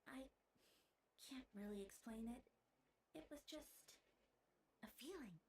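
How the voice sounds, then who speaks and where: A dreamy, lovestruck young townswoman from a video game, easily flustered. The voice is high and girlish, over faint ambience. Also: expressive, slow pace, a little mellow, slight background noise. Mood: wistful.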